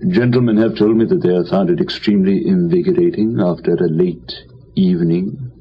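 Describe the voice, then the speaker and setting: A man's voice: sits low, fairly quick, husky, voice pushed down. A man voicing a deferential, composed valet in a comic story, speaking formally and politely. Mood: unruffled, deferential. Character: deferential, composed English valet, smooth and respectful. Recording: dull lo-fi with faint noise.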